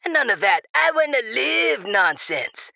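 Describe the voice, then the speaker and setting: Macabre radio jock, male actor: creaky, bright voice, mocking showman patter, gleefully heartless. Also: extremely high pitch, big pitch swings, unhurried, no echo, heavily acted. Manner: showy, mocking announcer patter. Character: gleefully callous radio show host of the afterlife. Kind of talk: radio DJ acting in audio drama.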